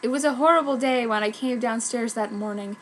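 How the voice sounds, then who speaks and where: A woman's voice: a little high, brisk, a little hiss or hum, rough recording. A woman reading fan fiction aloud as an amateur, with a theatrical, exaggerated delivery. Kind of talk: dramatic amateur story reading.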